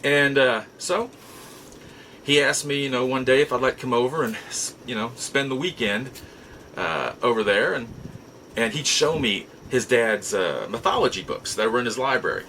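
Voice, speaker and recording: A man telling a personal story in a casual, halting way, as host of a nostalgia show. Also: mid-range voice, lively intonation, sharp tone, a little hiss or hum, rough recording. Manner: halting, repeats and restarts words as he goes.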